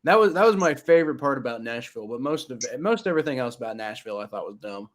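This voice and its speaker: A man's voice: mid-range voice, lively intonation, fast talker. A man with a slight Kentucky accent co-hosting a casual sports podcast, blunt and opinionated, often stammering over repeated words.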